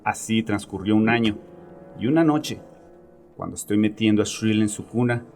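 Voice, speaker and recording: A man acts out a grieving father in a horror audio drama, speaking in a low voice over faint music. He is agitated and domineering, with a sarcastic, defensive edge as he unburdens himself to a therapist. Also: moderate pace, darkish tone, close to an everyday voice. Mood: agitated, self-justifying.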